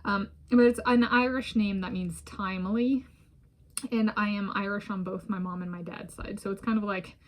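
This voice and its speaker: A woman's voice: medium pitch, fairly even intonation, normal speed. Rambling American woman vlogging to camera. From United States. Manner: rambling, many restarts and soft laughs. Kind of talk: casual solo talk to camera. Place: home in a residential area. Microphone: self-filmed camera.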